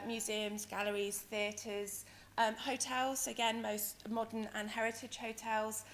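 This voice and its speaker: A woman with a croaky, hoarse voice giving a conference presentation as a company director, explaining things in a hesitant, wordy way. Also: mid-range voice, fairly bright tone. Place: conference venue in London, speaking to an audience. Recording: noisy conference hall ambience.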